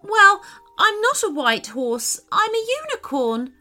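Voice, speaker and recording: A sweet, earnest little unicorn girl from a storybook, voiced by a woman. She is polite and sensitive, pitched very high with lilting, animated intonation over music. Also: takes it slowly, full character voice.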